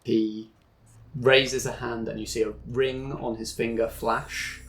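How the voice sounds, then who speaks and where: A man's voice: medium pitch, level tone, slow, a bit bright, low-quality recording. Guy running a tabletop game, medium voice, describing the scene. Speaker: game master.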